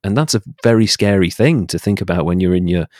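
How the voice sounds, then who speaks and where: Low-pitched man explaining, dry close sound. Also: quick pace, darkish tone, no echo. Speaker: guest. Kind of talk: personal interview account.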